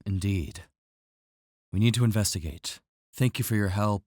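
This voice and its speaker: Audio drama superhero, played by a man: very low-voiced, authoritative, polite and serious male lead, dry recording. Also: normal speed, a little mellow, no echo, lightly acted. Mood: courteous, decisive. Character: grave, courteous male superhero, steady and authoritative.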